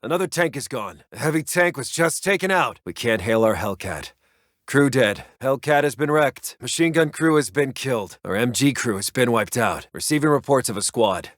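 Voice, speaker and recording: An actor playing a brisk, grave American army intelligence officer in a war strategy game, reporting in clipped, soldierly phrases. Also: male voice, medium pitch, animated, no echo, clean studio sound, lightly acted. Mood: grave, frustrated. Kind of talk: scripted military radio-report game voice lines.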